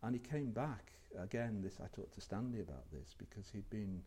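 A man's voice: lowish voice, animated, moderate pace, mellow, slight background noise. An older man, a former university lecturer, recalling his career in a reflective, hesitant way full of ums. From England. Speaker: retired lecturer being interviewed. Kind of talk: oral history interview.

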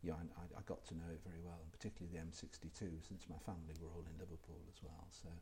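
A man's voice: deep voice, little pitch movement, very fast, mellow, a little hiss or hum. An older man, a former university lecturer, recalling his career in a reflective, hesitant way full of ums. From England. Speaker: retired lecturer being interviewed. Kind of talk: oral history interview.

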